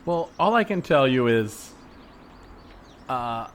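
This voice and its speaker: A man playing an earnest, mild-mannered hospital worker out jogging in an improvised comedy scene, speaking casually in a halting, tentative way. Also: medium pitch, very animated, fairly bright tone. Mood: earnest, defensive. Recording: background noise of outdoor soundscape. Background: added outdoor ambience soundscape.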